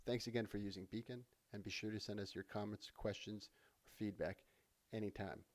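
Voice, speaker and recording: A man narrating a software tutorial in a casual, conversational way, explaining things step by step as an instructor. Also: lowish voice, steady tone, fairly quick. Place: voice-over for a screen recording.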